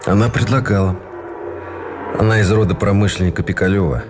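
An actor playing a weary, principled Russian lieutenant in captivity in a radio drama, speaking with bitter, formally polite restraint. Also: male voice, low-pitched, steady tone, brisk, over music, close to an everyday voice. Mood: bitter regret.

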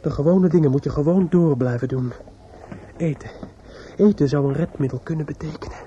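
A man's voice: on the high side, mellow, a little hiss or hum, lightly acted. Muffled, desperate young man played by an actor. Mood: brooding, dazed. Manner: halting, repetitive, obsessive. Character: desperate, distraught young man, muttering to himself. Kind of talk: radio play acting.

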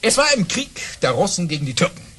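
An actor playing a boastful baron and officer, telling tall tales grandly and dramatically in for a radio play. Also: male voice, high-pitched, sing-song, quick pace, fairly bright tone, slight background noise. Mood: grand, dramatic. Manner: grandiose, dramatic, amused by his own boasts. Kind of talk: theatrical radio play storytelling.

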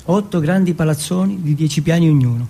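Voce maschile un po' roca, monologo teatrale. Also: male voice, fairly high pitch, fairly quick, creaky, dark tone. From Turin, Italy. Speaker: monologue performer. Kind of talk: theatrical autobiographical monologue.

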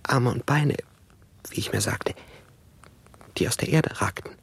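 An actor playing a troubled townsman in a 1960s radio play, recounting plague burials in a careful, hushed manner. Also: mid-range voice, unhurried, creaky, husky, dry recording, whispered, heavily acted. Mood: uneasy recollection.

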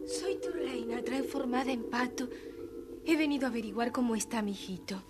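An actress playing a gentle, wronged young fairytale queen, earnest and melodramatic, in a dramatized children's story. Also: on the high side, a little fast, loud music behind the voice, lightly acted. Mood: wistful.

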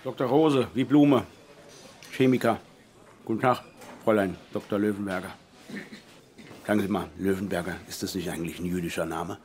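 Radio drama: male actor, formal German chemist, deepish, chilly and prying. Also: steady tone, moderate pace, pauses often, a little mellow, slight background noise, lightly acted. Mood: coolly probing.

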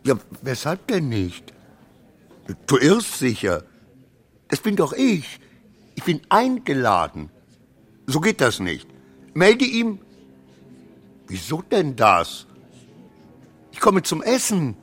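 An actor in a radio play playing a flustered, proud, insecure minor clerk who rambles between pompous declarations and muttering. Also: male voice, on the high side, wildly swooping pitch, slow pace, pauses often, a bit bright, breathy edge, heavily acted. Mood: indignant, pleading.

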